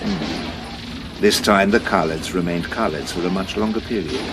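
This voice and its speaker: A composed Time Lord officer, giving a crisp, explanatory briefing. His voice is on the low side. Music plays behind him. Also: measured pace. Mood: measured. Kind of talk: audio drama acting.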